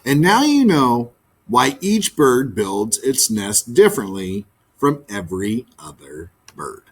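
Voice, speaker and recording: A man narrating a children's book in an expressive read-aloud style. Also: mid-range voice, takes it slowly, slightly rough. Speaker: storybook reader, teacher.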